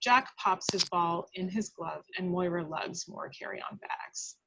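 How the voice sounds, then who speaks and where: A woman's voice: lowish voice, a little slow, gravelly, bright, forceful tone, breathy edge, low-quality recording. A woman reading stage directions as the narrator of a script table read, in a dramatic storytelling style.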